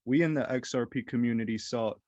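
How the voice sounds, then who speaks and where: A man's voice: medium pitch, fairly quick, a little mellow, no echo. A male host running a casual interview in an upbeat, encouraging way. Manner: upbeat, encouraging, agrees often.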